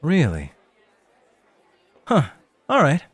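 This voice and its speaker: A man's voice: fairly high pitch, huge pitch swings, very slow, husky, no echo, some chatter behind, exaggerated voice. A man acting in an ASMR roleplay as a laid-back rookie club host, casual, hesitant and a little awkward. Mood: eager, casual.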